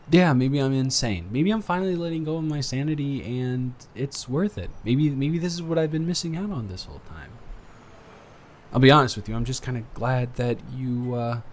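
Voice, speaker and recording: A man's voice: medium pitch, a little mellow, natural voice. Man acting as an earnest, rambling college guy, background noise. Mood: flustered, self-mocking, then tender, sincere. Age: young adult. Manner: rambling, halting, sheepish. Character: earnest, awkward young male college student, smitten dormmate. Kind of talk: intimate boyfriend roleplay audio drama.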